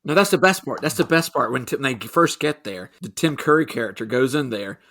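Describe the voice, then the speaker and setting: A man's voice: medium pitch, animated, fairly bright tone. A man co-hosting a podcast, chatting casually about a movie with wry quips. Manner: wry, quick quips, laughs along. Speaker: podcast co-host.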